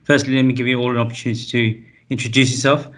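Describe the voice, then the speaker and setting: A man's voice: medium pitch, little pitch movement, very fast. A man chairing a professional roundtable, inviting each panelist to introduce themselves.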